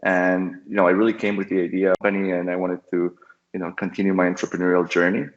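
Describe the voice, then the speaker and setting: Deep flat-voiced man. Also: fast talker, dull audio. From Costa Rica. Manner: thoughtful, many fillers and restarts. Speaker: guest entrepreneur. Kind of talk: casual interview talk. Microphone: call audio.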